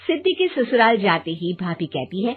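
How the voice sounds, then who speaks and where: A woman's voice: mid-range voice, sing-song, normal speed, slightly rough, faint music behind. A woman narrating a scripted family story for a cartoon, in lo-fi audio.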